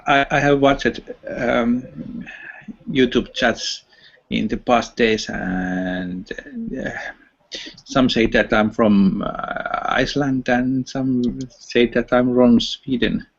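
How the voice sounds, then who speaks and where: A man's voice: medium pitch, slow pace, creaky. A man with a Finnish accent in a casual online voice chat, speaking hesitantly with many fillers and restarts. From Finland. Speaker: chat participant. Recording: dull lo-fi online voice chat audio.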